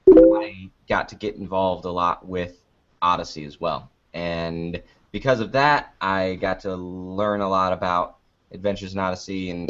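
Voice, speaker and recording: A young American man chatting casually as an interviewed podcaster, with a joking, playfully melodramatic manner. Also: low voice. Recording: dull lo-fi call-like audio.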